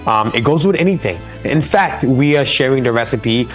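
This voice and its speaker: A man's voice: mid-range voice, expressive, normal speed, soft background music. A man from Senegal, a chef guest on a live talk show, talking enthusiastically over a remote link. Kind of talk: remote interview.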